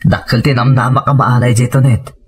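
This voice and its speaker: An actor with an Ilocano accent playing an earnest, worried husband and devoted son in an audio drama. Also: male voice, mid-range voice, steady tone, brisk, husky, natural voice. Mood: hopeful.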